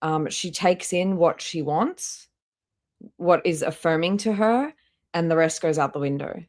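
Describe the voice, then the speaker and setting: A woman with a low-pitched voice hosts a casual livestream. She gives blunt, critical reaction commentary in a chatty, unscripted way, swearing freely. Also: a little slow, a little mellow.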